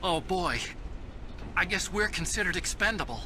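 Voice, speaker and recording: A man's voice: high voice, expressive, moderate pace, noisy background. An actor playing a nerdy, earnest young male computer whiz in a video game, with a boyish manner. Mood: anxious, helpful. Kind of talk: video game cutscene acting.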